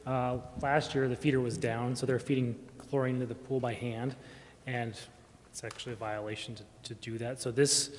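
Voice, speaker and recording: A man speaks at middle pitch with very little melody, explaining informally and haltingly as a staff member. The room is reverberant, with faint background noise. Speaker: city staff member reporting to council. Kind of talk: informal explanation at a public meeting. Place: indoor council chamber. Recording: echoey chamber with faint noise.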